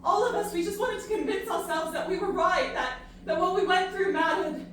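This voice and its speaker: A woman playing an earnest, disillusioned human pleading in an audio drama, halting and emphatic in her delivery. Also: very high voice. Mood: reflective. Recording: very echoey, distant, noisy ambience.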